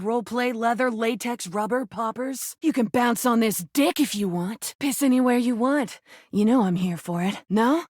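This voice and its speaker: A woman's voice: a little high, no room sound, studio quality. A woman voicing a crude, flirty, brash rubber duck come to life in a video game, with a sarcastic, taunting manner. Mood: brazen, seductive. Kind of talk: video game character voice lines.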